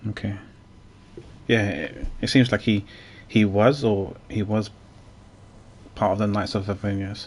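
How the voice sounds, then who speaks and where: A man's voice: on the low side, fairly even intonation, unhurried, long pauses. A man streaming a game, giving casual, informal commentary as he muses aloud.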